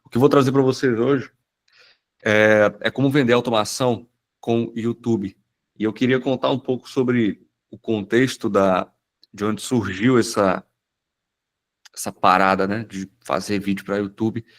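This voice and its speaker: Fields: Sex: man. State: hoarse, nasal from a cold. Style: casual live webinar talk. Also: on the low side, fairly even intonation, a little slow. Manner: informal, rambling, many fillers and restarts. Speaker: automation business owner presenting in a webinar.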